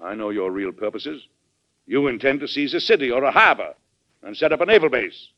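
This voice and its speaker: An actor playing a hearty, forceful American president, emphatic and statesmanlike, in an old-time radio drama. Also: male voice, medium pitch, lively intonation, brisk, close to an everyday voice. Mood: firm, forceful. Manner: emphatic, declamatory. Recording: dull vintage radio recording.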